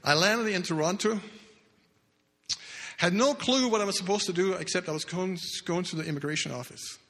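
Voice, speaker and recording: A man in his sixties, not a native English speaker, telling his life story earnestly as a testimony in church, with halting restarts. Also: high voice, a bit bright. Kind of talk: personal testimony talk. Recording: echoey hall with long reverb.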